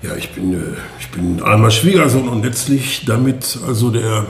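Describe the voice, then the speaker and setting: A man's voice: lowish voice, normal speed, a little hiss or hum, lightly acted. A weary village policeman, played by a man, talks hesitantly, as if apologizing for himself. The room is echoey, with faint ambience behind. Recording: roomy radio drama scene, faint ambience.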